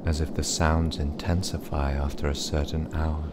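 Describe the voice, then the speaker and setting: A man narrating a bedtime story in a dramatic, measured and suspenseful style. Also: a bass voice, flat delivery, heavy background noise.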